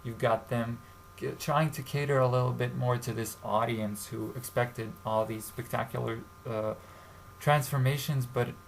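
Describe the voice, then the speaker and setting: A young man from Serbia hosting a casual commentary vlog, talking in a halting way with many fillers and restarts. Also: medium pitch, steady tone, creaky, low-quality recording. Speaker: YouTube channel host. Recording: faint background noise.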